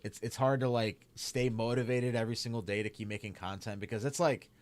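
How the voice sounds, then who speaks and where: A man's voice: mid-range voice, fairly even intonation, a little fast. A young man with a Chicago accent chatting casually to his stream while gaming, rambling with lots of "like" and "man". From Chicago area. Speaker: streamer and YouTuber.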